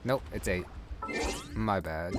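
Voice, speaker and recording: A man thinking aloud over gameplay in a relaxed, musing way, his voice sitting low. Game music plays clearly behind him. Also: takes it slowly. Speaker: gaming channel host. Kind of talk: casual gameplay commentary.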